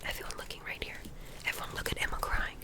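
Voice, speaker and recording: A young woman getting over a cold, softly telling rambling, self-deprecating stories from her school days in an ASMR whisper. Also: fast talker. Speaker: vlogger.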